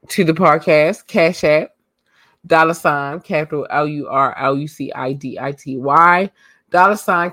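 Hoarse chatty woman podcast host. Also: sits low, a bit bright, no room sound. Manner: loose and chatty, full of ums and slang. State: losing her voice. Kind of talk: casual live podcast talk.

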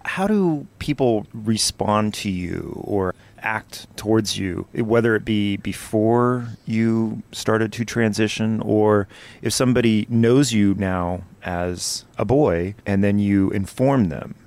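A man's voice: on the low side, takes it slowly, a little mellow. A middle-aged man hosting an interview, asking thoughtful questions and offering reassurance. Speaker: podcast host.

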